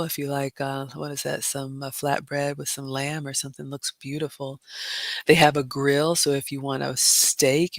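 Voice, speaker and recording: A woman with a low, raspy, smoky voice, chatting casually on a livestream and reading out viewer comments. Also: dry recording. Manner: chatty, says "y'all" and "you all" often. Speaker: livestream host.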